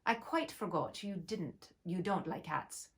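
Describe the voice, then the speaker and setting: A woman's voice: fairly low pitch, unhurried. A woman voicing a polite, curious young girl who chatters earnestly. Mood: apologetic.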